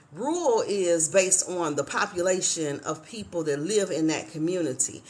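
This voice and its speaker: A woman in her fifties from Chicago, hosting a casual livestream chat from a hotel room and addressing viewers as y'all. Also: sits low. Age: 50s. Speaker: livestream host.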